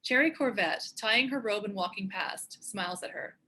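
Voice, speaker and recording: A woman's voice: mid-range voice, normal speed, bright, forceful tone, a little hiss or hum. A woman reading screenplay stage directions as scripted narration.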